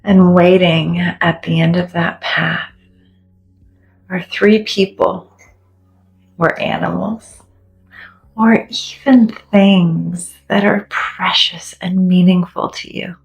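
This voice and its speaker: Woman doing a guided meditation, really slow n gentle, soothing, soft music behind her. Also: on the low side, fairly even intonation, gravelly, dull audio. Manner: gentle, soothing, deliberate with long pauses. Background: soft background music.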